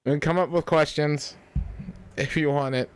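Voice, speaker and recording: A man's voice: a little high, fairly even intonation, normal speed, heavy background noise. A man who hosts a prank call show, talking in a casual style. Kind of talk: casual talk.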